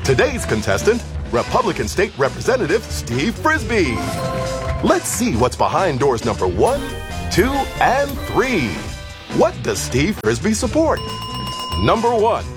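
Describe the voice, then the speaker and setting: Man, showy game-show announcer, high and wildly swooping voice, music bed behind. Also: huge pitch swings, measured pace. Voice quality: showy, booming announcer delivery.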